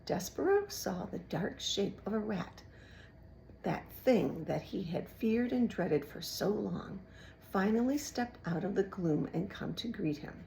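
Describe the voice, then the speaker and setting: A woman reading a children's storybook aloud in an expressive way. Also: medium pitch, measured pace, vocal fry, slight background noise, low-quality recording. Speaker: children's book reader.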